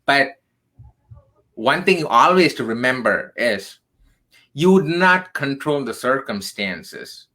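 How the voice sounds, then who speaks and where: Man, from southern India, 30s, bright tone, earnest, emphatic, career coach guest. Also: medium pitch, sing-song, slow pace, long pauses. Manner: earnest, emphatic, frequent "right?" tags. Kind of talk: radio interview storytelling.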